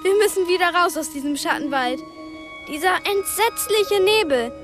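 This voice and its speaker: A woman's voice: extremely high pitch, expressive, moderate pace, over music. An actress playing a plucky, curious twelve-year-old girl in a radio play, direct and insistent. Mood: anxious. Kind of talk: radio play acting and narration.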